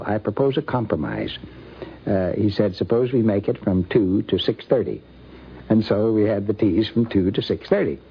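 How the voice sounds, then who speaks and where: Low, gravelly older American man reminiscing. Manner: halting, deliberate delivery. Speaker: interviewee recalling a friend. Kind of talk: unscripted anecdotal reminiscence. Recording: dull vintage radio recording.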